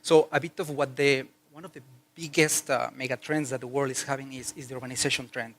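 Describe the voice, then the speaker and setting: A man's voice: medium pitch, fairly even intonation, a little fast, fairly bright tone. Mexican-accented man giving a conference talk. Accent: Mexican Spanish. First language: Spanish. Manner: chatty, with fillers and restarts. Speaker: conference speaker. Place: conference hall.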